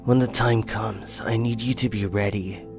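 A man's voice: fairly low pitch, fairly even intonation, normal speed, creaky, a little mellow, soft background music. A man hosting and acting in a dramatic improvised Minecraft roleplay. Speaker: gaming roleplay host.

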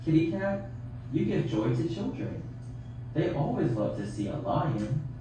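A man's voice: a little high, big pitch swings, measured pace. Man playing a kind puppet Jesus, very echoey. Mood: encouraging. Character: kind, fatherly puppet Jesus praising everyone lovingly. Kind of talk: children's puppet show acting. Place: theatre, behind puppet stage curtain. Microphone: far camera. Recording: very echoey hall from far off, noisy.

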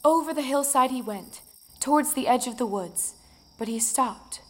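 A woman narrating a folktale audio play in a dramatic, measured storytelling manner. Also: sits high. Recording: music under the voice.

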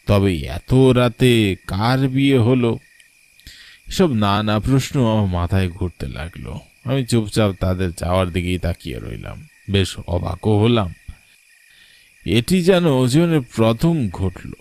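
A man's voice: on the low side, gravelly, darkish tone. A man playing a weary, frightened village rickshaw puller, telling a cartoon ghost story in an anxious, suspenseful, wondering way. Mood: puzzled wonder. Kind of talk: dramatic first-person horror story narration for a cartoon.

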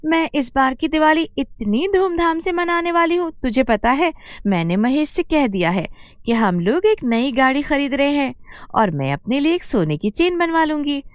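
A woman's voice: sits high, lively intonation, normal speed, dry recording, studio quality. A woman playing a boastful, demanding, newly rich housewife in a cartoon, showy and emphatic. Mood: bragging.

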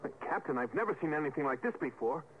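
An actor playing an earnest, eager junior spaceship officer, deferential and urgent, in 1950s radio drama style. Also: male voice, a little high, lively intonation, fast talker, lightly acted. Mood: alarmed. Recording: tinny vintage radio recording, faint noise.

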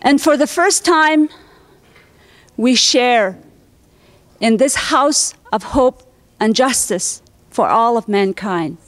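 A woman in her thirties hosting a conference, speaking formally and deliberately into a podium microphone in a large hall. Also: on the high side, animated. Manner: deliberate, ceremonial. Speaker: conference host. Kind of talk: formal welcome speech.

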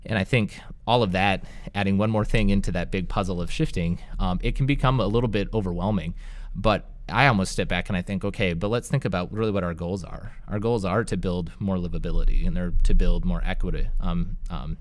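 A man with a very low, deep voice talks through city planning issues at a steady, considered pace. He co-hosts a local government discussion show, explaining things thoughtfully and pausing on fillers as he goes. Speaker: city planning director, co-host. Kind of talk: casual policy discussion.

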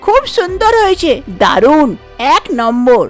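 A woman's voice: high voice, sing-song, measured pace, faint music behind. A woman voicing a kindly older mother-in-law in a cartoon story, effusive and approving. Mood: pleased, approving.

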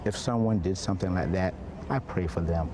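Man, pastor, low voice, slow, resigned, outdoor clip, clear background noise. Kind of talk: news interview clip. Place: outdoors beside a church.

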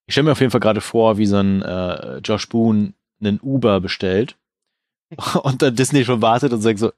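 A man co-hosting a casual film news podcast, speaking in a relaxed, affirming way and recommending titles. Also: fairly low pitch, moderate pace, dry recording, clean studio sound. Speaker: podcast co-host.

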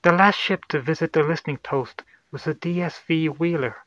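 A man's voice: on the high side, fairly quick, fairly bright tone, dry recording, highs cut off, voice pushed up, close to an everyday voice. A man voicing an anxious woman science officer, urgent and explanatory, in a sci-fi horror audio story. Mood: urgent, explaining.

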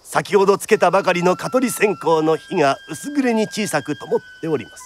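A man's voice: sits high, animated, a little fast, a little hiss or hum. A man narrating a period radio drama like a theatrical storyteller.

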